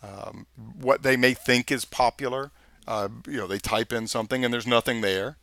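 Texan male instructor, screencast voice-over, bright and dry, casual and chatty while clicking around. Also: mid-range voice, fairly even intonation, normal speed, gravelly, no room sound. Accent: Texas. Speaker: software instructor. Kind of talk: screencast tutorial walkthrough.

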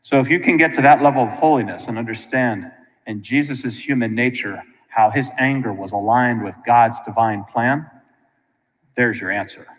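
American priest preaching in a reverberant church. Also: male voice, medium pitch, normal speed. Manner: colloquial and animated, with frequent asides to listeners. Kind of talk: informal conversational sermon. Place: large church sanctuary, indoors.

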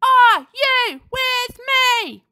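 A woman's voice: very high voice, lively intonation, extremely slow, bright, forceful tone, voice pushed up, heavily acted. A woman voicing a rebellious schoolgirl who rallies her classmates with urgent energy. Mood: rallying. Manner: urgent, rallying cries. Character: rebellious schoolgirl, bold rabble-rouser.

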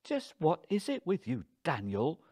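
A man's voice: fairly low pitch, big pitch swings, takes it slowly, full character voice. A man voicing an indignant middle-aged wife in an audiobook, exasperated and haughty as she scolds. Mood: exasperated, mocking.

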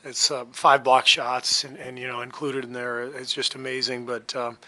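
A man in his fifties, a basketball head coach, answering reporters' questions at a postgame press conference in a relaxed, halting way with many fillers. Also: medium pitch. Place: press room before a sponsor backdrop. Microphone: table mic on a stand.

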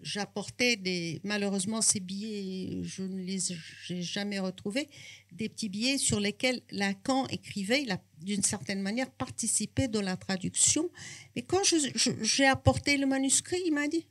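An older woman, speaking French at a bookshop talk on a handheld mic, reflective and full of restarts. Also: medium pitch. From Brazil. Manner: unhurried, reflective, hesitant restarts. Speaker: author and psychoanalyst. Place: bookshop, indoors.

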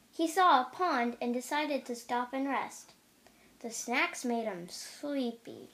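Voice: high voice, fairly bright tone. A young reader reading a children's picture book aloud, carefully, with the occasional stumble. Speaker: storybook reader.

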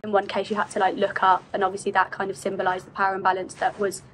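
A woman's voice: medium pitch, completely flat delivery, racing, a bit bright, slight background noise, rough recording. A woman, giving an informal testimonial indoors.

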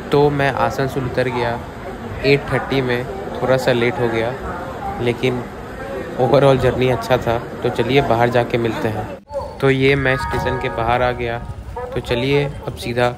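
A young man, casually narrating a train travel vlog in a chatty, steady way. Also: mid-range voice. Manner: chatty, steady, plain delivery. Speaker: train vlogger. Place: inside a train and rooms. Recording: loud train and vehicle noise.

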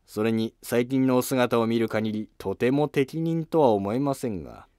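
Man playing a formal, measured elderly court minister. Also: medium pitch, mellow, no room sound, studio quality, close to an everyday voice. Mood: politely arguing. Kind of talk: historical drama dialogue.

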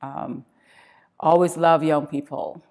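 A woman's voice: sits low, steady tone, takes it slowly, darkish tone. A woman from Barbados giving a reflective testimony about her church in an interview.

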